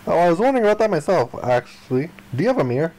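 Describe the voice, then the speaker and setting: A man voicing a flippant, grandiose young amnesiac castaway, reading visual novel dialogue aloud in an expressive way. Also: fairly high pitch, very fast, rough recording. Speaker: video game player reading aloud. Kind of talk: dramatic read-aloud of visual novel text.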